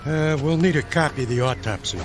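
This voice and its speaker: An actor playing a matter-of-fact police lieutenant in a radio drama, casual and dry. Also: male voice, on the high side, a little fast, background noise, natural voice. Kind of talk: naturalistic radio drama acting.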